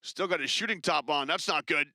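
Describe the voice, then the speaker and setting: Guy doing basketball play-by-play, bright crisp voice, dead dry sound. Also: on the high side, no echo. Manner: brisk, chatty, rises with excitement on big plays. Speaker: play-by-play commentator. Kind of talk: live basketball commentary.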